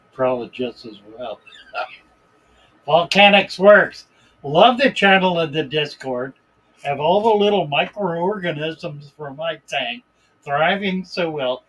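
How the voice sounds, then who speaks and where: A man hosting a live stream in a relaxed, unhurried way, greeting viewers by name and reading their comments aloud. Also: fairly high pitch, breathy edge, rough recording. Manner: relaxed, unhurried, chuckles between remarks. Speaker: livestream host.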